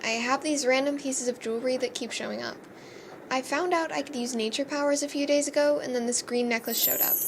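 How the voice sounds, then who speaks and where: A woman's voice: high voice, steady tone, brisk, noisy background. A woman playing a young, skeptical fairy newcomer with a dry, slightly hesitant delivery in an amateur voiced roleplay. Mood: unsure, skeptical.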